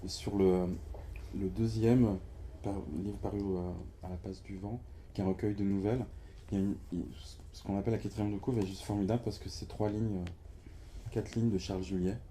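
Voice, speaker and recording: A man with a low, very dark and mellow voice hosts a book launch at a bookshop. He questions his guest in a hesitant way, searching for words and filling pauses. Faint room sound runs underneath. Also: steady tone, a little hiss or hum. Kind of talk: interviewing a guest at a live event. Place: bookshop event before an audience.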